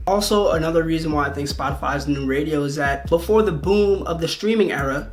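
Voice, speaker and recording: A video host, delivering conversational video essay commentary. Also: medium pitch.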